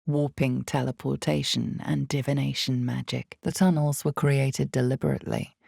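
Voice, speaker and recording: An actress voicing the narrator of a dark fantasy game, reading in slow, measured second person with a husky, creaky low voice. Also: female voice, fairly even intonation, no room sound, studio quality, full character voice. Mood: ominous. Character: unseen female storyteller of a dark fantasy game. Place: studio.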